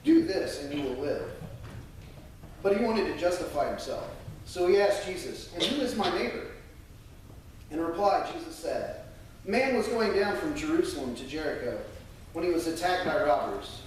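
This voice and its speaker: An American man speaking earnestly and a little haltingly to a congregation, as a church member sharing testimony and scripture. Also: fairly high pitch, lively intonation. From United States. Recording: very echoey room, distant mic, faint noise.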